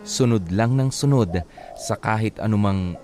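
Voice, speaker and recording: Radio drama confessor: man plays submissive, hurting spouse, lowish voice, measured and heavy-hearted, faint music underneath. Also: lightly acted. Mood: sad, resigned. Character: sorrowful, submissive partner confessing an abusive marriage. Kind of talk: radio drama letter narration. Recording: soft music bed behind the voice.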